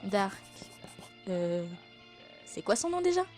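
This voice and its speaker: A woman's voice: mid-range voice, sing-song, slow pace, a little mellow. A woman playing a gleefully villainous, scheming young woman with a theatrical, mock-grandiose delivery in a comedic fan audio drama. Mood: smug, scheming. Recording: music behind the voice.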